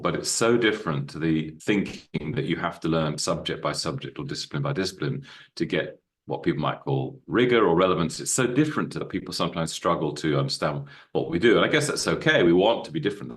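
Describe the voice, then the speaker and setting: Man, fifties, deep bass voice, very low pitch, expansive podcast guest. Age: 50s. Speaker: guest, university co-founder. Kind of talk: podcast interview.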